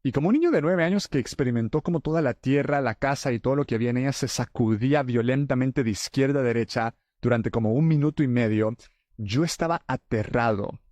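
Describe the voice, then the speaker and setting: A man's voice: medium pitch, brisk, a little mellow, dry recording, studio quality. A man from El Salvador, hosting a faith podcast and earnestly talking straight to his listeners as friends. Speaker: podcast host.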